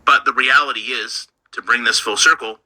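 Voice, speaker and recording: Bright-voiced man giving blunt commentary. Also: medium pitch, fairly even intonation, moderate pace, low-quality recording. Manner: blunt, lecturing. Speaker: commentary channel host. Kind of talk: scripted commentary.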